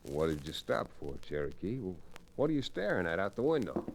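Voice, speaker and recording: An actor playing a measured, courteous frontier lawyer in an old Western radio drama. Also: male voice, sits low, big pitch swings, gravelly, slight background noise, exaggerated voice. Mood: dry.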